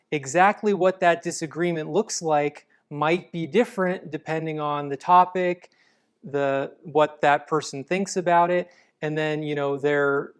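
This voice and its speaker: Measured male guest, smooth and clear, patient explainer. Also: a little high. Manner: calm, measured, explanatory with frequent restarts. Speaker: apologetics guest. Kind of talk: conversational interview answers.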